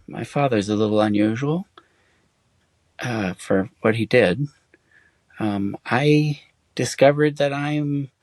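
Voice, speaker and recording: Middle-aged guy who grew up in germany, halting answers, lots of ums, dry close sound. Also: mid-range voice, a little mellow, no room sound. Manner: hesitant, halting with many fillers and restarts. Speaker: interview guest. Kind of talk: casual conversation.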